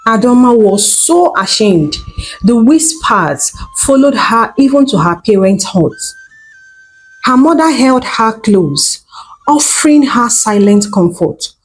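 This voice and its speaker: A woman's voice: medium pitch, rough recording. A woman telling a folktale as a storyteller, in scripted narration.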